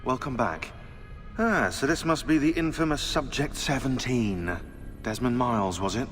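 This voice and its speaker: An actor playing a sarcastic, condescending archivist in a game cutscene. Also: male voice, medium pitch, animated, moderate pace, fairly bright tone, in a large hall, soft background music, natural voice. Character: sarcastic snobbish man, dry and condescending. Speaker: archivist and tactical support.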